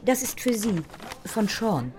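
A woman's voice: mid-range voice, very animated, unhurried, a little mellow, a little hiss or hum. A woman playing a cool, condescending, cosmopolitan photographer in her thirties in an audio drama. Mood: cool, superior. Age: 30s.

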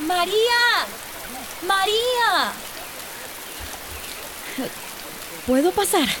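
A woman's voice: very high-pitched, sing-song, slow pace, background noise, heavily acted. An actress playing a caring, worried young woman in a radio drama, with a Costa Rican accent. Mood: gentle, soothing.

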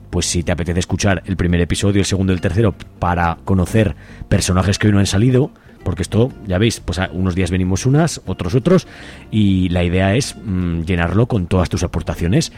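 A man with a lowish voice and an accent from Spain hosts a radio programme. He addresses listeners informally, as if without a script, over faint music. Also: brisk, a little mellow. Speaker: community radio host. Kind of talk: informal, unscripted radio presenting.

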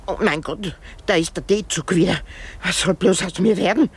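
A woman's voice: fairly low pitch, moderate pace, a little hiss or hum, lightly acted. An actress playing an old, self-pitying, hysterical married woman in a radio drama, rambling theatrically with sighs and exclamations. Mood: lamenting, self-pitying. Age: older.

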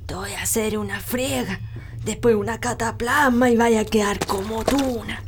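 A woman's voice: on the high side, moderate pace, noisy background, natural voice. A woman playing a bossy, mysterious old country healer in a radio drama, scolding in a rural Chilean accent. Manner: scolding, colloquial, sometimes hushed.

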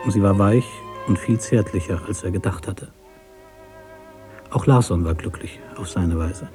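A man narrating a literary story for radio in a measured, deliberate way. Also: low voice, over music.

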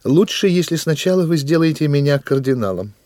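An actor playing a scheming, ambitious churchman in a radio play, commanding, measured and theatrical, with a husky voice. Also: on the high side, lively intonation, a little mellow, no room sound, close to an everyday voice. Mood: ambitious, solemn. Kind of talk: Russian radio play acting.

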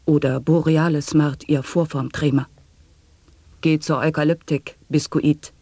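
Slow breathy chanting of nonsense poems,, husky, dry studio. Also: male voice, a little high, level tone, no room sound. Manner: slow, hushed wordplay chanting. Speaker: voice in a sound-poetry radio play. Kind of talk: 1980s sound poetry recitation.

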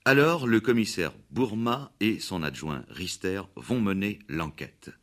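Deep, animated male radio announcer. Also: measured pace. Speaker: radio drama announcer and narrator. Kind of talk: scripted narration, 1960s radio. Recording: old 1960s radio broadcast recording.

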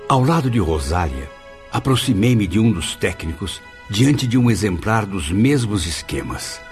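A man's voice: lowish voice, sing-song, unhurried, a little mellow, faint music behind. A man playing an earnest, dramatic spirit of a suicide who narrates his afterlife in a radio drama. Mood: admiring, reflective. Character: anguished, curious male suicide soul narrating his afterlife.

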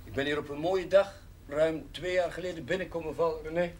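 Solemn chaplain played by an actor, fairly high. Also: male voice, steady tone, fairly quick, darkish tone, natural voice. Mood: solemn, urgent. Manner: pleading, solemn. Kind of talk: 1970s Dutch television drama acting. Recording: faint noise on old TV audio.

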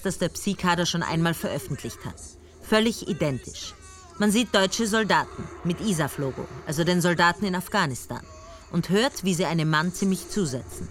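An actress playing a level-headed police colonel who also narrates, speaking in a calm, dry, matter-of-fact way. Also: female voice, fairly low pitch, slight background noise, lightly acted. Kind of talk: radio drama narration and dialogue.

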